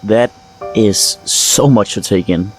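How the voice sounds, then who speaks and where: An actor playing a solemn, resolute fungal alien in an audio drama, speaking in a measured, halting, heartfelt way. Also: male voice, on the low side, faint music behind, low-quality recording. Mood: moved, grateful. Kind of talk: full-cast audio drama acting.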